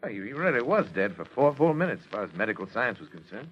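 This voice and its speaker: An actor playing an earnest, controlling psychiatrist with formal, weighty phrasing, in the style of 1940s radio drama. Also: male voice, mid-range voice, sing-song, fast, husky. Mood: reflective, concerned. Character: earnest, controlling male doctor and psychiatrist, grave and anxious. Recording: dull, band-limited old radio recording.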